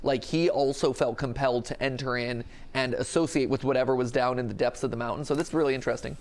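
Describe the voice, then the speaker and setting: A man's voice: medium pitch, steady tone, fast. A young adult man giving casual, joking reaction commentary as a show host.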